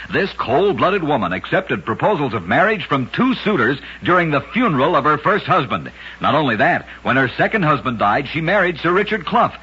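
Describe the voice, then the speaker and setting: A man narrates strange true tales for an old-time radio program, his voice husky and sharply bright. He rides the sentences up and down with lively emphasis and clips each story off with a punchy tag. The old broadcast recording sounds dull and lo-fi. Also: a little high. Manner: brisk, emphatic storytelling with punchy catchphrase endings.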